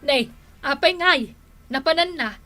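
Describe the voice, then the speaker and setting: A woman's voice: high-pitched, a little slow, bright, forceful tone, soft background music. A woman playing a doting elderly mother and grandmother in a radio drama, fussing and exclaiming with an Ilocano Filipino accent. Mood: chiding.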